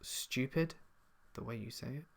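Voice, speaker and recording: A man's voice: mid-range voice, unhurried, creaky, a bit bright. Casual southern English man reading chat. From south of England. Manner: casual, chatty, reads comments aloud then answers. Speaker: horror story channel host. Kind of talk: live stream Q&A, reading out chat.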